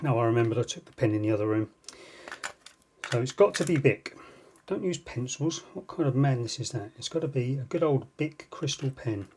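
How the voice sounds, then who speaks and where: A man's voice: mid-range voice, lively intonation, fairly quick, a little mellow, slight background noise. A man hosting an unboxing video with casual, unscripted commentary and dry, self-deprecating asides. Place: indoors at a tabletop. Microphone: phone filming the tabletop.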